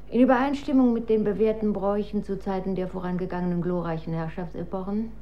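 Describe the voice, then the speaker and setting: Slow, imperious empress mother played by a woman. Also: mid-range voice, lo-fi sound, slight background noise, close to an everyday voice. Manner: measured, imperious, formal proclamation. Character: commanding empress mother, coolly scheming regent. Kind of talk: German radio drama acting.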